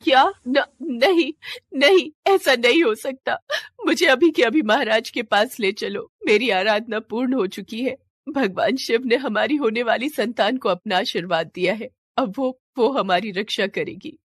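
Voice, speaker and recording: A woman's voice: fairly high pitch, unhurried, husky, dry recording, studio quality, pitched above the performer's own voice. A woman voicing a devout, proud serpent queen who pleads and defies with dramatic emotion in a fantasy story. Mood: shocked. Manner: impassioned, dramatic pleading and defiance.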